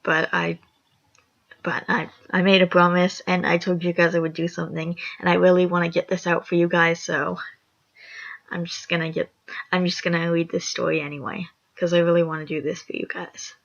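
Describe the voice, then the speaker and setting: A young woman with a raspy voice, reading a horror fan story aloud, rambling and apologetic with chatty asides. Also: fairly low pitch, flat delivery, normal speed. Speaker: story reader. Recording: lo-fi dull recording.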